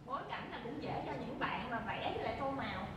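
A woman's voice: fairly high pitch, expressive, fast, over loud music. A woman teacher with a southern Vietnamese accent explaining to a class and asking questions. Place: large school hall. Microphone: far camera. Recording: reverberant hall, distant mic, noisy.

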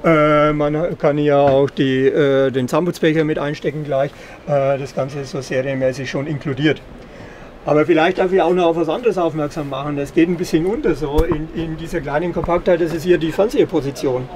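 A middle-aged man explaining product features in an interview, slightly halting and repeating words. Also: on the high side, steady tone, a little mellow. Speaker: caravan company representative. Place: caravan at an indoor trade fair. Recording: clear background noise of busy trade fair.

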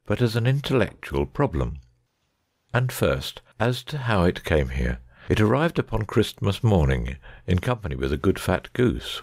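A man playing a keen, analytical gentleman detective in a dramatic audiobook reading, precise, discursive and wry. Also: sits low, dry recording, studio quality, full character voice.

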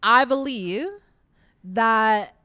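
A woman's voice: a little high, drawn-out words, pushed and bright, smooth, no room sound. A woman comedian in her thirties chatting playfully on a podcast, laughing and emphatic, speaking into a handheld mic in a small studio. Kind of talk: casual comedic chat. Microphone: handheld mic held close.

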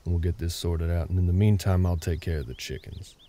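A man playing a gruff but caring older cowboy ranch hand in an intimate audio roleplay, with a low gravelly voice and a terse, unhurried drawl. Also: steady tone, mellow, a little hiss or hum, lightly acted. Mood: instructing, steady. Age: middle-aged. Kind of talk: intimate ASMR roleplay acting.

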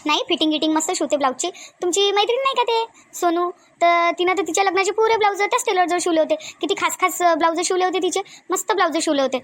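A woman voicing chatty, bustling village women in a cartoon comedy, with a Varhadi Marathi accent and colloquial, animated chatter. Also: extremely high pitch, quick pace, dry recording. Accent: Varhadi Marathi from Vidarbha.

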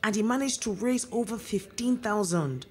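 A woman's voice: medium pitch, animated, normal speed, a little mellow, close to an everyday voice. An actress playing a proud middle-aged mother in an audio drama, pointed and guilt-tripping with her grown son. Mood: reflective, pointed.

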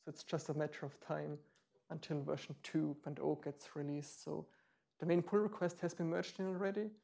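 Man, 30s, meetup presenter; dark gravelly voice, explains with ums and restarts. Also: a little high, steady tone, normal speed. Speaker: meetup speaker. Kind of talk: live tech demo talk. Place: meetup room.

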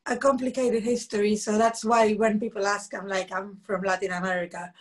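A woman in her thirties from Luxembourg with a husky voice, telling stories animatedly with frequent laughs over a video call from home. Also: medium pitch, fairly quick. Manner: animated storytelling, laughs often. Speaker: musician guest. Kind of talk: casual video call interview. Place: home room, indoors.

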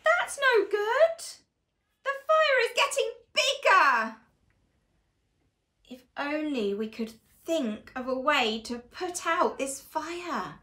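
A young woman reading a picture book aloud to small children in an animated, expressive, deliberate storytelling manner. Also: high voice. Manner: exaggerated, expressive, slow and deliberate delivery to children. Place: small living room, on a sofa indoors.

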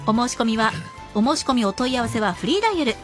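Female radio shopping announcer, high voice with lively, swooping intonation, scripted sales read. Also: quick pace, over music.

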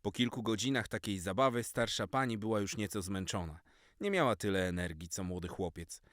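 A man narrating a scripted children's story as an expressive storyteller. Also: lowish voice, a little fast, no room sound, clean studio sound.

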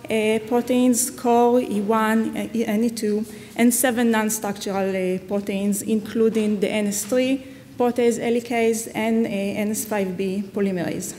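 An Israeli woman in her thirties gives an academic talk at a podium microphone, pausing often and filling gaps with small sounds. Her voice carries a long reverb from the lecture hall, with a faint hiss underneath. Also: on the high side, fairly even intonation, dark tone. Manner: hesitant, many fillers. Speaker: scientist giving a conference talk. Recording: echoey hall with faint noise.

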